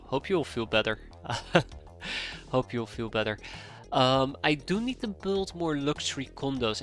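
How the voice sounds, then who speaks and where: A man in his thirties talks through a live strategy game stream, stuffy-nosed with a cold. His voice is bright and forceful, sitting at an ordinary pitch for a man. He thinks aloud and wanders off track, with soft game music under him. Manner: rambling, thinking aloud, easily sidetracked. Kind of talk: live gameplay commentary, chatting with viewers.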